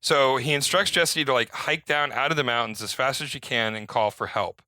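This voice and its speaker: A man co-hosting a film podcast in casual chat, agreeable and quick to affirm what is said. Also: mid-range voice, pushed and bright, no echo. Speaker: podcast co-host.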